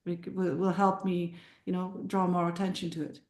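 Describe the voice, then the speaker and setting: A woman's voice: lowish voice, level tone, moderate pace, darkish tone. A middle-aged woman from the Netherlands with a Dutch accent, talking about her film on a podcast, full of restarts and repeated words. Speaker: filmmaker and musician guest. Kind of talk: casual podcast interview. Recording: roomy sounding.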